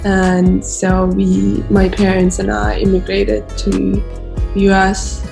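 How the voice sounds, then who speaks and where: Brief: young Turkish-accented woman, slow, hesitant, gravelly edges, video call with faint music. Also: medium pitch, completely flat delivery. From Turkey. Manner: slow, gentle, hesitant, lots of fillers. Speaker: participant. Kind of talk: casual video call chat.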